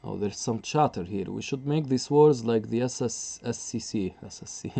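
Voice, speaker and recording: A man's voice: mid-range voice, normal speed, vocal fry, dark tone. A man streaming live chess commentary in a casual way, chuckling often and answering his chat.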